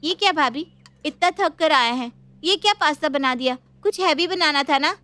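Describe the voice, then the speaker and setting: Woman as a high, teasing young twin sister. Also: moderate pace, pushed and bright, no room sound. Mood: demanding.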